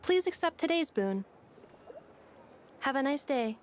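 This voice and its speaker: A woman voicing a calm, helpful female robot in a video game, measured and formal. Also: sits high, slight background noise. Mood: calm, polite.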